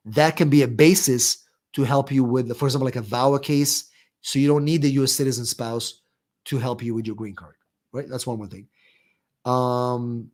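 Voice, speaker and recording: A man's voice: mid-range voice, darkish tone. Israeli-accented man hosting a live Q&A. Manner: conversational, many fillers and restarts. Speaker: immigration attorney, live stream host. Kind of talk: live Q&A stream.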